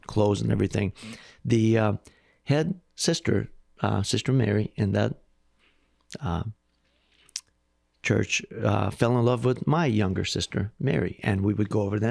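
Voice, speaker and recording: A man's voice: on the low side, creaky, a little mellow, dry recording, studio quality. A middle-aged man from Iran, a hospital president, telling his life story in an earnest, reflective interview. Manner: earnest, reflective, speaks with conviction.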